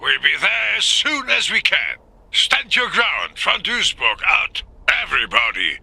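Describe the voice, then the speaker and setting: Gravelly, forceful army commander. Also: male voice, sits high, a little slow, no room sound, full character voice. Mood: urgent. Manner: clipped, firm, animated. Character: cautious, firm male army commander, dutiful and authoritative. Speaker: voice actor. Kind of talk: dramatic military game acting.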